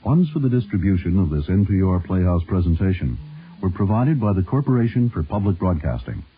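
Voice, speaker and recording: A man's voice: deep voice, big pitch swings, brisk, slightly rough. A man reading a scripted funding credit as a radio network announcer. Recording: tinny narrow-band radio over music.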